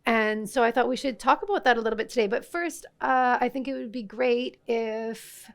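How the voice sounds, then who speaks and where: A woman's voice: a little high, moderate pace, vocal fry. A woman hosting a live tea festival panel, introducing her guests with frequent hesitations. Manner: hesitant, many uhs, welcoming. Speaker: host. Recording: live festival stage talk.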